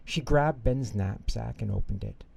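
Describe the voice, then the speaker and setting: A man reading a children's bedtime story aloud as an expressive storyteller. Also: on the low side, unhurried, gravelly, breathy edge.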